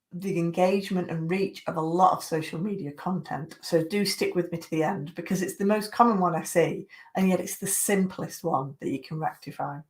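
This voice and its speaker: Croaky woman giving social media tips. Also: on the low side. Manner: chatty, greets viewers by name. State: croaky from a chest infection. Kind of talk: live-streamed tips talk.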